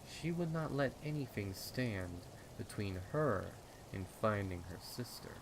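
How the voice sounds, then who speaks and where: A man with a fairly low voice narrates a horror tale. He takes it very slowly, with ominous, dramatic phrasing. Rain is clearly heard behind the reading. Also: expressive, background noise. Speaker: fan fiction narrator. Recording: rain and storm ambience behind.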